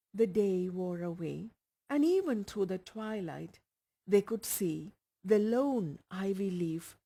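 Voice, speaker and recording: A woman's voice: fairly low pitch, gravelly, mellow, husky. A woman with an Indian accent reading a short story aloud as scripted narration. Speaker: audiobook reader.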